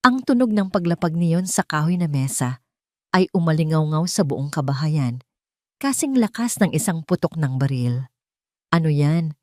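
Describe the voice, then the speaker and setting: A woman's voice: low voice, animated, moderate pace, no room sound, clean studio sound. A woman narrating a scripted love story in a dramatic storytelling style.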